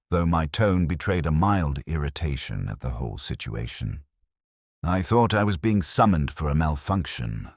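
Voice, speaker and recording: A man narrating a literary detective story in the first person, in a measured, brooding and formal manner. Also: deep voice, no room sound, studio quality.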